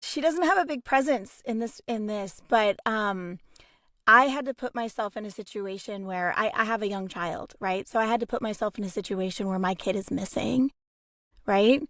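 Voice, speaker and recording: A woman's voice: mid-range voice, normal speed, a bit bright, no echo, studio quality. A woman explaining her approach earnestly in a casual, reflective panel discussion. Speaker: voice actor on a podcast panel.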